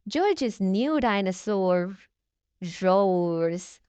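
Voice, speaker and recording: A woman voicing a kindly, jolly father pig in a children's storybook, with a deliberate parental manner. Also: mid-range voice, lively intonation, dark tone, clean tone, no echo.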